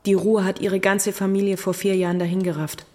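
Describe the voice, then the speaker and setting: A woman's voice: mid-range voice, level tone, a little fast, darkish tone, natural voice. A woman playing a stern but kindly middle-aged orphanage matron in an audio drama, grave and formal. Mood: grave, sorrowful. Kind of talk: German audio drama acting.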